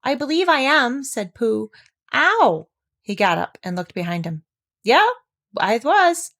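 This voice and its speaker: A woman voicing a simple, good-natured young male bear in a storybook reading, in a bright, eager, higher voice. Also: sing-song, takes it slowly, full character voice. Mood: surprised. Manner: bright, eager, a little high.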